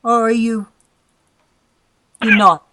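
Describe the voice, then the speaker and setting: A woman's voice: fairly high pitch, fairly even intonation, takes it slowly, bright, forceful tone. A woman co-hosting a live talk show, asking questions in a friendly way with light fillers. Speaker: co-host. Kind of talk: casual interview.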